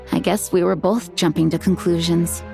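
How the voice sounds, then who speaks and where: Earnest, composed knight commander. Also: female voice, fairly low pitch, fairly even intonation, vocal fry. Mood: earnest, thoughtful. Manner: measured, formal, courteous. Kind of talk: video game dialogue and story narration. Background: music.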